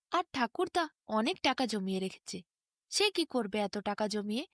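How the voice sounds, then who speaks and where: A woman narrating a scripted bedtime story in an expressive, unhurried storytelling manner. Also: high voice, no room sound, clean studio sound.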